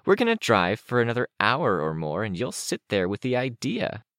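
A man's voice: on the low side, huge pitch swings, normal speed, fairly bright tone, no room sound, clean studio sound, voice pushed up, heavily acted. Man voicing a blunt, needling teenage girl. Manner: scolding, teasing.

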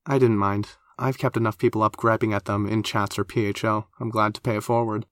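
Audiobook role, read by a man: kind, weary young male outcast, understated, medium pitch, dry sound. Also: flat delivery, a little fast, no room sound, natural voice. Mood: low-key, reassuring.

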